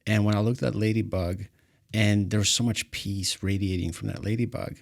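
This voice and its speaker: A man from Ukraine, a native Russian speaker, hosts the talk with a lowish voice. He tells his story eagerly, rising and stumbling as he goes. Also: a little fast, creaky. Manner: animated storyteller, restarts and stumbles. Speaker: host. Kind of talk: storytelling interview chat.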